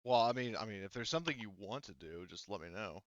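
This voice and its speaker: A man's voice: lowish voice, expressive, fast, no room sound, highs cut off. A man casually narrating a tabletop roleplaying game as game master, rambling and often rephrasing himself mid-sentence.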